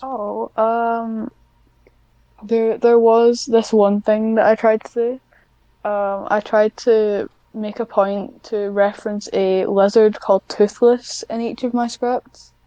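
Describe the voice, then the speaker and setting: A woman with a fairly high voice speaks as a guest on a podcast. Her delivery is casual and a little halting, and the recording is very dry with no room sound. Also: little pitch movement, mellow, smooth, dry recording, highs cut off. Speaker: podcast guest, script writer. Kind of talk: casual chat.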